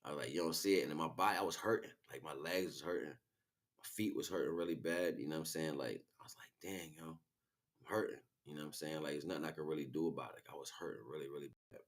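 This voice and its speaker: A man's voice: a bass voice, quick pace. A man livestreaming a casual, rambling spiritual monologue in street slang, often checking in with rhetorical questions. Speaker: livestream host.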